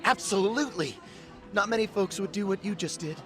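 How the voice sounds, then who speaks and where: Awkward, effusively polite male diner for a game, man acting: high voice, self-deprecating, over music. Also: fairly quick, natural voice. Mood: earnest. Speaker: voice actor in game dub. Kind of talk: English dub game cutscene acting.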